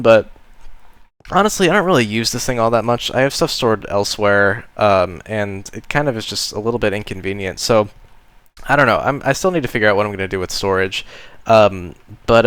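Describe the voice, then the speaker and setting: A man casually narrating a tour of his game base, relaxed and rambling with frequent ums and uhs. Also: fairly low pitch, a little fast, clear, resonant tone, no room sound. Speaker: modded game player giving a base tour.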